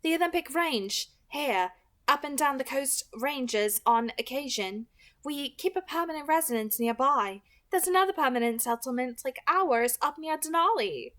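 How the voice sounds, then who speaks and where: Woman reading as courteous, higher-pitched vampire matriarch. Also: animated, moderate pace, fairly bright tone. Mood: polite, welcoming. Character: courteous, welcoming female vampire family matriarch.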